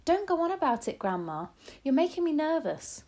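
A woman's voice: sits high, very animated, normal speed, a little mellow, voice pushed up. A woman voicing a clever young boy who has been turned into a mouse, earnest and eager.

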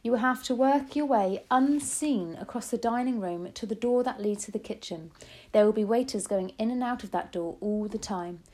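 A woman's voice: a little high, moderate pace, darkish tone, natural voice. A woman voicing an elderly grandmother who is loving, resourceful and emphatic, in a storybook reading. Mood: urgent, caring.

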